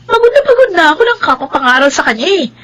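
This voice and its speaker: A woman's voice: extremely high pitch, sing-song, fast, a bit bright, exaggerated voice. An actress playing a blunt, exasperated young female friend in a Filipino radio drama.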